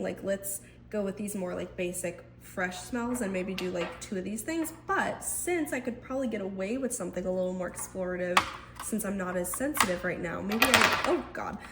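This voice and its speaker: A woman's voice: mid-range voice, noisy background. A young woman with a congested, stuffed-up voice, chatting casually and thinking aloud at home as she tries out household scents. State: congested from a cold. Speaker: lifestyle vlogger. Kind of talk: casual chatty vlog narration. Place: indoors at home.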